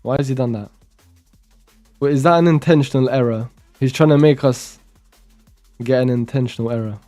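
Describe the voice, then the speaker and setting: A man's voice: medium pitch, moderate pace, mellow. Young man muttering code aloud, faint music. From Romania. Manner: muttering code aloud while typing, chatty asides. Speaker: programming streamer. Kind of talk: casual live-coding commentary.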